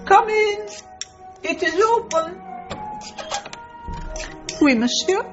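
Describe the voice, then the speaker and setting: A woman's voice: very high voice, lively intonation, exaggerated voice. An actress playing a ruthless Soviet woman spy posing as a genteel countess, with a Russian accent, in a radio drama. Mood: falsely genteel. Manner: slow, mock-courteous, menacing. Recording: dull broadcast sound with loud music.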